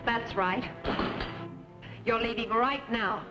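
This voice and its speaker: A woman's voice: fairly high pitch, slow pace. A woman playing a feisty, protective young frontier daughter in an old-time radio western. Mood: indignant. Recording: dull old radio, loud music behind.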